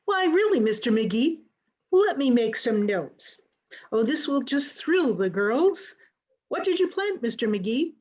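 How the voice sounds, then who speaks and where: Woman playing a gushing, animated garden club lady. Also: sits high, measured pace, exaggerated voice. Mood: thrilled. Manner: effusive, polite. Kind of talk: old-time radio comedy acting.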